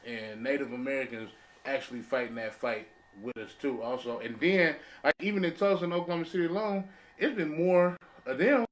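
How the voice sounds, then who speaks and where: A man's voice: medium pitch, a bit bright. A man taking part in a casual podcast discussion as one of the panelists.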